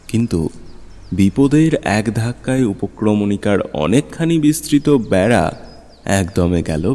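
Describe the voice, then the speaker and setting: A man narrating a literary story in a measured, expressive, dramatic style. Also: mid-range voice. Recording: long studio reverb, music underneath. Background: music.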